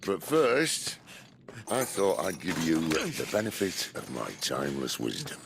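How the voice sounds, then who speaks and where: A man playing a gruff, wry older outlaw leader in a video game, with gravelly, unhurried speech. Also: a bass voice, very animated, background noise, full character voice. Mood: teasing, calm. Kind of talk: video game cutscene acting.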